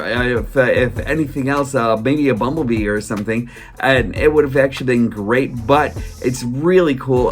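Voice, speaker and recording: A man talking casually to his viewers in a vlog, hoarse from a cold, rambling with lots of fillers and restarts. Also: medium pitch. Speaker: toy collector vlogger. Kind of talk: casual solo vlog chat.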